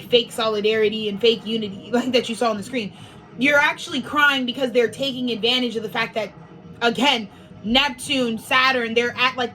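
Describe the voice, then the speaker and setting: A woman's voice: a little high, bright, forceful tone, rough recording. Sniffly woman rambling about spiritual energy. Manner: rambling, filler-heavy, swearing freely. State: a little sniffly. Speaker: spiritual astrology speaker. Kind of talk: casual spiritual monologue.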